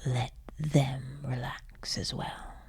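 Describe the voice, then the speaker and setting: A woman leading a guided sleep meditation in a soothing, hushed and breathy voice, leaving long pauses. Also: very deep voice, steady tone, whispered. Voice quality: breathy, husky.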